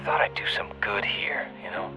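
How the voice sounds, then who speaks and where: An actor playing a guilt-ridden young American soldier, halting and subdued, in a video game drama. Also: male voice, fairly low pitch, animated, in a whisper, over music, close to an everyday voice, low-quality recording.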